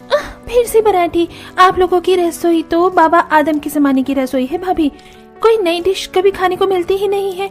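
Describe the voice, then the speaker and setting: A woman's voice: very high voice, normal speed, soft background music, pitched above the performer's own voice. A woman voicing a spoiled, demanding college girl in a cartoon story, whining and fussy. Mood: whiny. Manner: whiny, demanding. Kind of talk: Hindi cartoon story dialogue.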